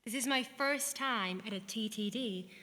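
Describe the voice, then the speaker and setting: A woman playing a brisk young switchboard operator. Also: a little high, bright, forceful tone, in a large hall. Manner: crisp, efficient, switches into French. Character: brisk young female army telephone operator. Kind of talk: stage musical acting.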